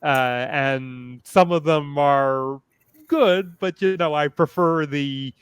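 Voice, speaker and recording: Slow, smooth-voiced man discussing thoughtfully. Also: fairly high pitch, no echo. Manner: hesitant, halting, thoughtful phrasing. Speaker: panelist. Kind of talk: fan panel discussion.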